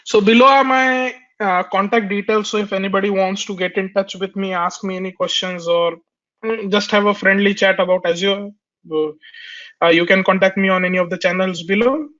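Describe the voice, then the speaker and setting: A man's voice: high-pitched, steady tone, fairly quick, fairly bright tone. Nasal man presenting a tech webinar. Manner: hesitant, many fillers and restarts. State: nasal from a cold. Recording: dull lo-fi webinar audio.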